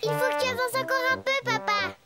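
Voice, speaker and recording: A woman's voice: very high-pitched, steady tone, brisk. A woman voicing a bossy, giggly little girl piglet in a French cartoon dub. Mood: eager. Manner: eager and bossy, giggling often. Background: background music.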